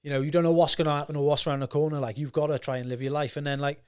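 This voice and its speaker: A man's voice: fairly high pitch, little pitch movement, rapid-fire, clean tone. A young man hosting a podcast, chatting casually and agreeably, often ending with tag questions. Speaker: podcast host. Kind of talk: casual interview chat.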